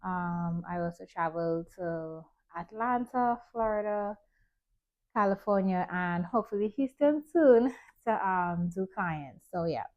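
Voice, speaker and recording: A woman's voice: lowish voice, unhurried, darkish tone, muffled recording. A woman from Trinidad who works as a hair stylist, chatting casually to camera with lots of ums and likes. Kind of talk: casual vlog chat to camera.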